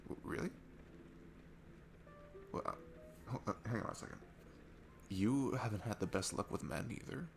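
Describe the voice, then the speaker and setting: Man playing a low, gravelly, nervous shy guy. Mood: shy, open. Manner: nervous, halting, rambling, apologetic with awkward laughter. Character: shy, insecure, sweet young guy at a bar. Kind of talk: intimate ASMR audio roleplay. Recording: music behind the voice. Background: music.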